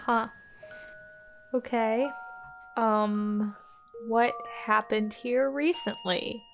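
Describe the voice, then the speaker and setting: A woman's voice: a little high, fairly even intonation, extremely slow, faint music behind. A woman playing in a tabletop podcast, chatting casually and reacting to the game. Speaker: player in an actual-play podcast.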